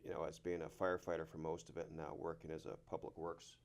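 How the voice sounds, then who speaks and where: Male guest, deep voice, unpolished and halting, everyday conversational delivery. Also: completely flat delivery. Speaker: panel guest, public works worker. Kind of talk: casual chat.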